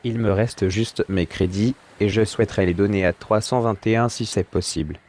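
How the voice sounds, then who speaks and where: A man's voice: on the low side, fairly even intonation, normal speed, a little mellow, lightly acted. A man playing a friendly, weary captive about to go home, in an audio drama with an easygoing manner.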